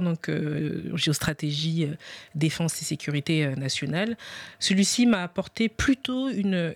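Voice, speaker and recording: A man's voice: on the high side, creaky, no echo. A man answering interview questions as a podcast guest, reflective and formal, hesitating and restarting as he searches for careful wording. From family origin Republic of the Congo. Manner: hesitant, formal, searching for words with repeated starts. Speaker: podcast guest, parliamentary adviser.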